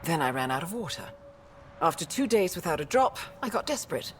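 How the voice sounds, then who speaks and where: A woman's voice: lowish voice, very animated, a little fast, a little hiss or hum. A woman playing a stranded, exasperated scientist in a video game, talkative and wry. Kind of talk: game dialogue acting.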